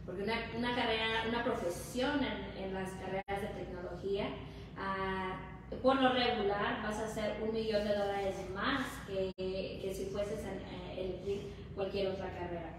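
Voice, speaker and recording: Female interview guest, Mexican Spanish accent, conversational explainer, mid-pitched, very roomy sound. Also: steady tone, normal speed, distant mic. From Hidalgo, Mexico. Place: indoor lounge nook with brick wall and concrete floor. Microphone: distant camera or phone. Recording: echoey, noisy room, off-mic.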